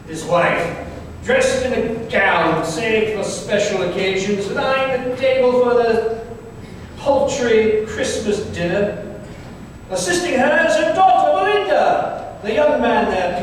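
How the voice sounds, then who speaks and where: Casting: hearty giant ghost, very high male voice, unhurried, radio play in a cavernous hall. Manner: hearty, grand, chuckling. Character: jolly, booming giant male Ghost of Christmas Present. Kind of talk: old-time radio stage acting. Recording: distant, echoey hall, faint noise.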